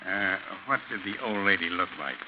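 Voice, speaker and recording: An actor playing a gruff, matter-of-fact American police sergeant in a brisk 1940s radio style. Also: male voice, sits low, expressive. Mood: brisk, questioning. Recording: muffled, hissy 1940s radio recording.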